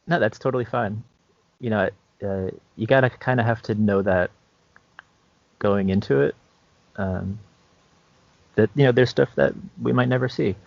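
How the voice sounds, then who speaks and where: A man's voice: on the low side, normal speed, gravelly, long pauses, no echo. A man co-hosting a podcast, chatting casually in a hesitant, loosely phrased, easygoing way. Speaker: podcast co-host, game master. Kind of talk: casual chat giving gaming advice. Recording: dull, lo-fi, dry podcast audio.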